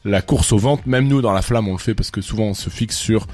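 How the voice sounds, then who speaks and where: A man co-hosting a casual rap debate, speaking in a measured way with frequent hesitations. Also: fairly low pitch, a little mellow. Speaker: co-host.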